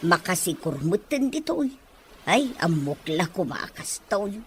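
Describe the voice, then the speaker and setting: A woman's voice: fairly low pitch, big pitch swings, unhurried, a little mellow. A woman playing a grumbling employee in a scripted audio drama, with faint noise in the recording. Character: grumbling female employee stuck on an island.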